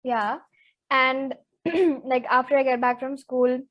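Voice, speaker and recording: Teen girl co-host, slightly high voice, chatty and quick to get worked up. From Zambia. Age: teens. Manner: chatty, animated, says "like" a lot. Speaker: co-host, interviewee. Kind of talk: casual chat podcast.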